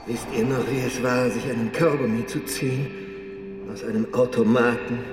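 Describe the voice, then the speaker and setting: A man's voice: mid-range voice, fairly even intonation, normal speed, natural voice. An actor playing a man groping lost through a wasteland in a stylized radio play, declaiming insistently. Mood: wistful. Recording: distant, echoey, under loud music.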